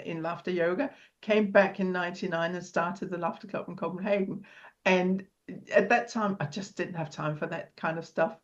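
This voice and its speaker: A woman's voice: fairly low pitch, dull audio. A middle-aged woman with a Danish accent, a podcast guest telling her story in casual chat and laughing often. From Denmark.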